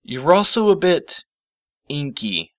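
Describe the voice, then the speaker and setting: A man's voice: a little high, big pitch swings, slow, dry recording, clean studio sound, voice pushed up. A man voicing a wry, friendly young male creature, a helper with a casual, dryly teasing manner, in a storybook reading. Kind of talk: storybook character voice.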